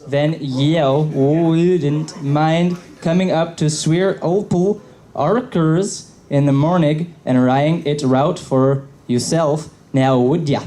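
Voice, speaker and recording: A fairly high-voiced man reads a story aloud at a live panel. He goes slowly and haltingly, picking through the words. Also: warm tone. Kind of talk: halting read-aloud of garbled fan fiction. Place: convention panel room.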